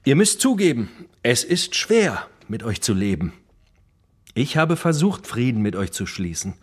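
A man's voice: medium pitch, big pitch swings, measured pace, breathy edge. An actor playing a boastful, wry gang burglar turned writer, telling his exploits in a dramatic radio play monologue. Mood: reflective, rueful.

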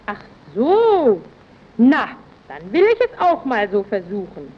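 Curious housewife, lilting, muffled old radio. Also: female voice, fairly high pitch, slow pace, full character voice. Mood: curious, admiring. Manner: inquisitive, flattering. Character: curious, admiring housewife neighbour seeking washing advice. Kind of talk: scripted vintage radio advert acting. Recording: dull, noisy early radio recording.

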